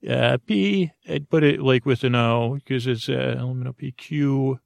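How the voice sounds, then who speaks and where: A man's voice: mid-range voice, moderate pace, gravelly, no room sound. A man hosting a sleep podcast in a rambling, meandering monologue, hesitant and circling back, musing whimsically with an earnest air.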